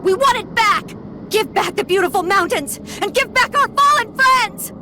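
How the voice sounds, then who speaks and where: A woman playing a fierce, indignant young rebel in a video game cutscene, declaiming forceful accusations. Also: very high voice, expressive, normal speed, noisy background. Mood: outraged.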